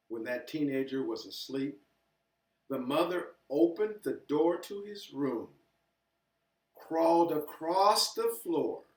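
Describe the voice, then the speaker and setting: Very slow male storybook reader, echoey room. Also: a little high. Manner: deliberate, pausing between short phrases. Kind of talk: expressive children's read-aloud narration. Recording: echoey room, distant from mic.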